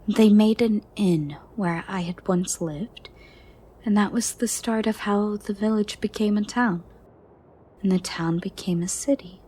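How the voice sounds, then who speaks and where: A woman playing a gentle, ancient female monster under the bed in a soft, breathy, partly whispered ASMR roleplay, formal and caring. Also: mid-range voice, slight background noise, lightly acted. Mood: wistful storytelling. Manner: slow, formal, caring, slightly stern.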